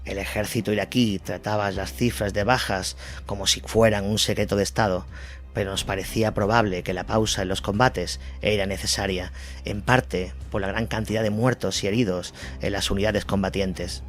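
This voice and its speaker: A man's voice: fairly low pitch, steady tone, moderate pace. A man reading a scripted documentary-style war narration. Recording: music bed under voice. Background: music.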